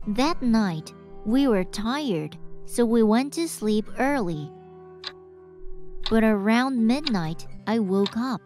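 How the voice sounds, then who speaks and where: A woman voicing a frightened schoolgirl who narrates her own night of terror in an animated horror story. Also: on the high side. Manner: hushed, breathy fear rising to screams.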